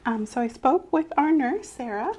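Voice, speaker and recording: A soft-spoken woman playing a doctor in an ASMR exam roleplay, methodically asking questions in a reassuring way that often trails off quietly. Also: high voice, expressive, normal speed, a little hiss or hum. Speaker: ASMR creator playing a doctor taking a medical history. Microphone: clip-on mic.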